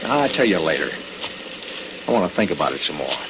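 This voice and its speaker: An actor playing a steady, determined castaway who takes charge in an old-time radio drama, speaking deliberately. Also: male voice, rumbling low, exaggerated voice. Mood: pensive, cautious. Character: steady, determined man stranded on a tropical island. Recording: noisy, muffled vintage radio recording.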